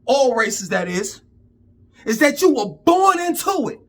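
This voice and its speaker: A man's voice: very high-pitched, sing-song, unhurried, a bit bright. A man in his fifties giving an emphatic, profane, preachy rant to camera in street talk. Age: 50s. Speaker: vlogger.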